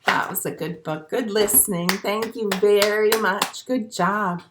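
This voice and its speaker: A woman's voice: medium pitch, steady tone, measured pace, background noise. A woman who hosts children's storytime, reading aloud expressively and speaking simply and encouragingly to small children. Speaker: children's storytime librarian and host. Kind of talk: reading a picture book aloud to young children, with songs and asides.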